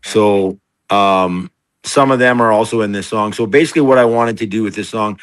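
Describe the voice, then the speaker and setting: Middle-aged singer-songwriter, very low and deep, rambling and chatty, dry close sound. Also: male voice, moderate pace, clear, resonant tone, dry recording. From Canada. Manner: rambling, full of fillers and restarts. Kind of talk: casual interview storytelling.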